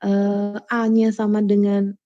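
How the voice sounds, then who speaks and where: A woman's voice: medium pitch, monotone. A woman lecturer talking students through a spreadsheet step by step, often checking that they follow. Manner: explanatory, checks understanding with quick questions. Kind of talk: screen-recorded spreadsheet lecture. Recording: dull lo-fi recording.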